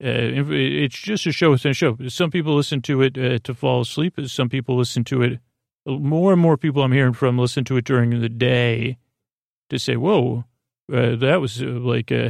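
A man speaks in a gravelly, creaky voice, close and dry with hardly any room sound. He hosts a bedtime podcast as a rambling, improvised monologue. His delivery is soothing and halting, wandering off into tangents. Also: medium pitch, no echo. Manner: meandering, halting, trails off into tangents; soothing, lulling. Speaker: podcast host.

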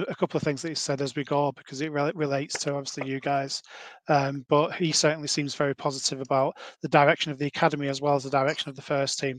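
A man's voice: fairly high pitch, a bit bright, no echo. A man in his thirties hosting a casual podcast interview, asking long rambling questions with many fillers. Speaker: podcast host.

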